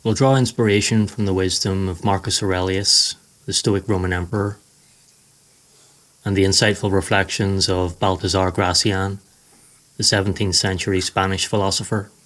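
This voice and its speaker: A man narrating a scripted guided meditation in a slow, soothing, measured way. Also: low voice, little pitch movement, fairly bright tone.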